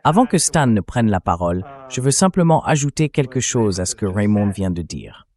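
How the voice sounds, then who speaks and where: A man's voice: fairly low pitch, big pitch swings, normal speed, a little mellow. A man speaking as a professor guest, explaining in a firm, emphatic way. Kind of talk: dubbed interview commentary. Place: in Tehran.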